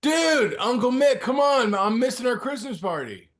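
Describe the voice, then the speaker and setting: A man performs a ski bum dude in his twenties, slangy and whiny. His high voice swings around a lot as he pleads his way through a comic script reading. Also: sing-song, normal speed, a bit bright, full character voice. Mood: pleading. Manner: slangy slacker, whining. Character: laid-back, pleading young ski bum, male, twenties. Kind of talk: comedic table-read acting.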